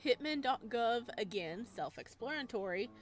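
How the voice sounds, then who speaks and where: A woman's voice: a little high, animated, moderate pace, faint music behind. A woman doing a visual novel let's play, reading text aloud and breaking off for chatty, casual asides. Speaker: gaming video host.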